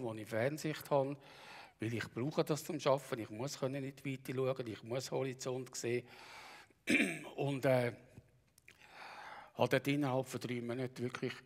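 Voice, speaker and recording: A middle-aged or older man with a Bernese Swiss German accent, giving a halting personal account on stage and often clearing his throat. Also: medium pitch. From Bernese Oberland, Switzerland. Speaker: practitioner giving a talk.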